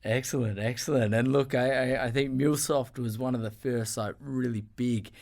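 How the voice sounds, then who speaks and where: A man's voice: mid-range voice, fairly even intonation, moderate pace, gravelly, darkish tone. A man hosting a podcast interview, effusive and full of fillers and restarts. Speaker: podcast host. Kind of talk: casual interview chat.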